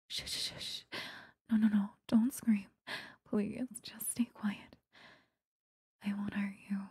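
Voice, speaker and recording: Woman acting as possessive female vampire, whispered ASMR roleplay, extremely breathy, intimate, seductive, dry close-mic sound. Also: fairly high pitch, warm tone, no room sound, heavily acted. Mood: hushing, soothing. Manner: slow, intimate, lingering delivery. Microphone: close microphone.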